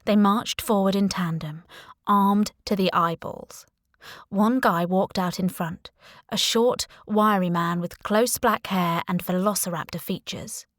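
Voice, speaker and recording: A woman's voice: mid-range voice, a little slow, no room sound. Wry woman narrating fiction, dry sound. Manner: wry, expressive. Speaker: audiobook narrator. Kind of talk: scripted first-person fiction narration.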